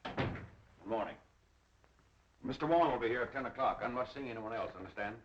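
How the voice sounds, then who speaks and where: Male, actor as harried, anxious executive; lively inflection, 1930s film acting, dull vintage soundtrack. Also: mid-range voice, normal speed, slight background noise, natural voice. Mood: tense, curt. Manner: earnest, nervous.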